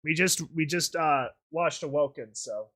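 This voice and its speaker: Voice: mid-range voice, measured pace, a bit bright. A streamer giving casual, goofy, rambling live reactions while videos and songs are played. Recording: music playing behind the voice. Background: music.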